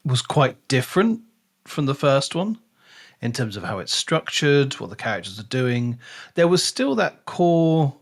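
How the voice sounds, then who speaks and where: A man co-hosting a podcast, casually discussing a book and rephrasing as he thinks aloud. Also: mid-range voice. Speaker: podcast co-host.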